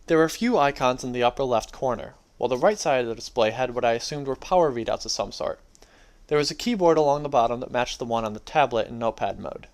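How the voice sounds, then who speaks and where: A man's voice: medium pitch, lively intonation, fast. A young adult man giving a dramatic reading of his own first-person story.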